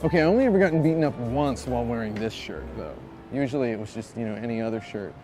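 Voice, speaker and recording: A man in his thirties from Indiana telling a self-mocking anecdote in a rambling, animated way, as a video game reviewer. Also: medium pitch, fast talker. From Indiana, USA. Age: 30s. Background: music.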